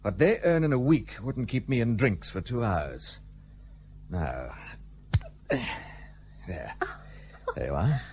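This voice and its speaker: An actor playing a curt, cynical British gentleman in colonial India, clipped and formal, in a period radio play. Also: medium pitch, very animated, slow pace, pauses often, a little hiss or hum. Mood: dry, impatient.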